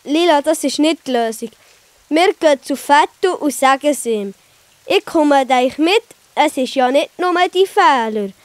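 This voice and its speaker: Casting: woman as conscientious young boy, very high voice, measured delivery, children's audio play. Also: lively intonation, dry recording. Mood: earnest, urging.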